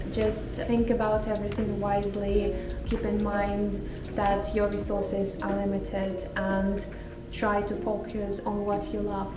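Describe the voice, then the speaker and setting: A woman in her twenties from Kazakhstan, Russian as her first language, chatting casually in a vlog Q&A at home with thoughtful pauses and fillers. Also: medium pitch. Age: 20s. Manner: thoughtful, frequent fillers and restarts. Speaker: home baker vlogger. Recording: roomy echo, mic at a distance, music behind. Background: background music.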